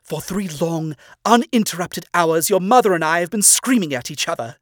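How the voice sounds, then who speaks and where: A stern demon owl father, put on by a man reading a fan story, pitched well above the reader's own voice. He scolds and accuses in hard, pointed phrases that climb toward shouting. The sound is close and dry, with no room around it. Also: big pitch swings, a little slow, dry recording, exaggerated voice. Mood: furious.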